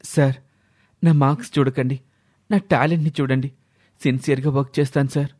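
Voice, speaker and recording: A man's voice: mid-range voice, fairly even intonation, a little fast, darkish tone, natural voice. A man playing a dutiful young son, earnest and resolute, in a dramatised moral story.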